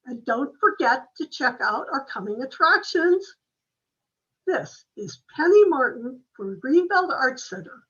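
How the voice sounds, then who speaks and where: A woman's voice: high voice, very animated, unhurried, sharp tone, slightly rough. A woman reading scripted promotional announcements in the manner of an old-time radio announcer. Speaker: theater announcer. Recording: slightly dull, lo-fi sound.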